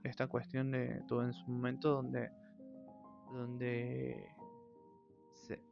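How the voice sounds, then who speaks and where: Gravelly Argentine man musing aloud. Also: medium pitch. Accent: Argentine Spanish. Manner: thoughtful, hesitant, many drawn-out fillers. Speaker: channel host. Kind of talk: reflective personal monologue. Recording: dull lo-fi audio with faint music.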